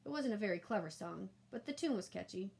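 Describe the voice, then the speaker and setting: A woman narrating a children's storybook aloud in an animated, expressive and emphatic way. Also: mid-range voice, quick pace, creaky.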